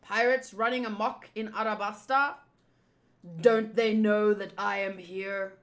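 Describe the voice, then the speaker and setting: Woman as grandiose pirate warlord, lowered voice, smug and haughty villain for a manga reading. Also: fairly even intonation, unhurried, lightly acted. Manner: haughty, self-important.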